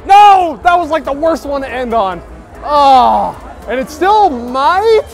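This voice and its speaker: A young man in his twenties giving excited running commentary at night, filming himself on an action camera at arm's length, with loud outbursts. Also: very high-pitched, takes it slowly, vocal fry. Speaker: vlogger. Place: outdoor stadium golf bays at night, rain. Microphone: action camera held at arm's length. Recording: noisy outdoor crowd and music. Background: crowd chatter and music.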